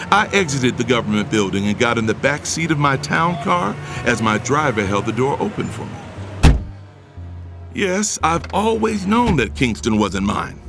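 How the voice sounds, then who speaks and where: Smug, animated billionaire schemer. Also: male voice, medium pitch. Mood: smug, scornful. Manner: smug, commanding, taunting. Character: scheming, arrogant middle-aged billionaire husband and father. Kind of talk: audio drama acting with first-person narration. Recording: music under the voice. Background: music.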